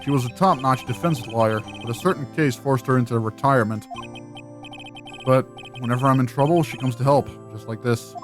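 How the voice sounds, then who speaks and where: A man's voice: medium pitch, fairly quick, faint music behind, natural voice. A man voicing an earnest, anxious young defense lawyer in a fan voice-over of a visual novel game, hesitant and questioning. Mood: reflective.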